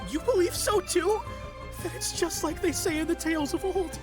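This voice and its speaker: A woman's voice: extremely high pitch, normal speed. A woman voicing a frightened young villager in a video game who believes a curse has been laid on them, panicky and stammering. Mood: dramatic. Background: game music.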